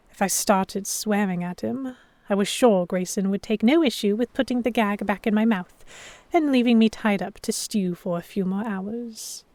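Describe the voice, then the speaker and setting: A woman giving expressive first-person narration in the style of a dramatic audiobook. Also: medium pitch, no echo.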